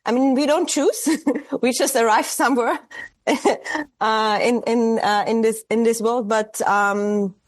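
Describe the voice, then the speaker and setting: A woman's voice: a little high, fairly even intonation, slow. A woman in her forties from Austria with an Austrian German accent, a German native speaker, reflecting thoughtfully as an artist guest, hesitant with many fillers and restarts. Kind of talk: reflective interview conversation.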